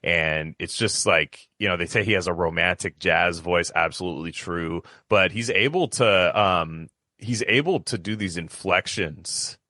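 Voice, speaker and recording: A man with a very low, bright voice talks casually on a podcast. He is enthusiastic but halting, often doubling back on his words. The sound is close and dry. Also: no echo. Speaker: podcast co-host.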